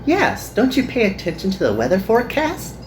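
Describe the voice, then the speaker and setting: A woman voicing a kind, earnest young magical girl in a visual novel, with gentle and formal phrasing. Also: on the high side, wildly swooping pitch, a little fast, faint music behind. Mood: friendly.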